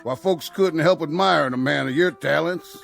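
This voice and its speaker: An actor playing a sly, menacing Old West outlaw in an audio drama, with a gravelly American Western drawl and a folksy, needling way of talking. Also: male voice, a little high, normal speed. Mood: mocking. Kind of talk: radio drama acting. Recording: music under the dialogue.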